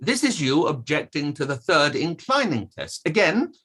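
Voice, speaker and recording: A man's voice: a little high, big pitch swings, moderate pace, a bit bright, slightly rough, full character voice. A man playing a precise, pressing inquiry barrister, cross-examining formally in a play table read. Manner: probing, formal, hesitant over document references.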